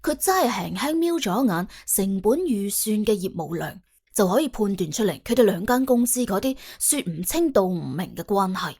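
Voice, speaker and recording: A woman narrates a comic crime story in an expressive, conversational storytelling style. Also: mid-range voice, normal speed, breathy edge. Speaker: audiobook narrator and host.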